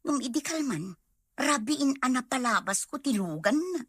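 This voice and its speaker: An actress as a husky gossipy auntie. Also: female voice, high-pitched, lively intonation, normal speed, no room sound, clean studio sound. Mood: chatty. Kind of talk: audio soap drama acting.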